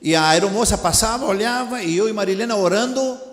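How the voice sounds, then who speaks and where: A man's voice: high voice, fast talker. A man preaching in a church, his first language Spanish and his Portuguese carrying a Spanish accent, who tells a story to the congregation and acts out the dialogue. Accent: Spanish-speaking. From Latin America. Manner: animated, acts out dialogue, addresses congregation directly. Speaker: missionary preacher. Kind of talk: storytelling sermon. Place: church sanctuary. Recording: echoey church hall.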